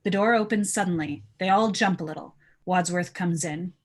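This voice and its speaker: A woman's voice: on the low side, normal speed. A woman reading stage directions as narrator in a casual live table read.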